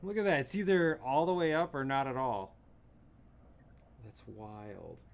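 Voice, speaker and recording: A man livestreaming, quietly muttering to himself in casual chatter while he fiddles with his sound levels. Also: medium pitch, sing-song, unhurried, gravelly, clear, resonant tone. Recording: noisy stream audio with train sounds. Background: train ambience.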